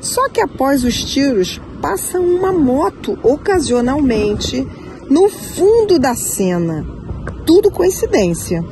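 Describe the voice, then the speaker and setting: A woman's voice: high voice, sing-song, slow pace. A woman from a production team, explaining her work. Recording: clear traffic-like background noise.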